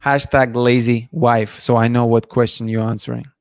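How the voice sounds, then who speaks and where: A hoarse man in his thirties hosts a livestream from a dim room, bluntly offering opinions as he reads chat comments. He thinks aloud and restarts his sentences. His voice sits in a middle pitch, picked up close and dry by a studio mic. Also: flat delivery, moderate pace, no echo. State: losing his voice, clearing throat. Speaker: livestream host. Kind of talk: casual livestream talk reading chat comments. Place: dim room at night, indoors. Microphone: studio mic in front of him.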